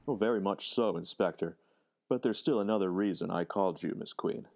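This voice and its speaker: An actor playing a distinguished, formal senior government official in a deliberate old-time radio drama style. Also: male voice, sits low, gravelly, darkish tone, dull audio. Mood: businesslike.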